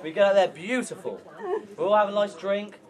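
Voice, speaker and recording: A man's voice: sits high, fairly quick. A young man in his twenties giving a joking best man speech at an outdoor wedding, telling stories and chuckling as he goes. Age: 20s. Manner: joking, storytelling, chuckling through lines. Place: outdoor pub garden at a wedding. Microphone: far camera. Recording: crowd laughter and loud noise from a far camera. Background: laughing wedding crowd.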